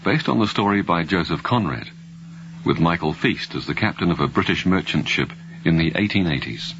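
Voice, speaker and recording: Deep-voiced male radio drama announcer. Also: animated, moderate pace. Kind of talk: scripted broadcast drama introduction. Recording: dull radio recording, noisy, humming.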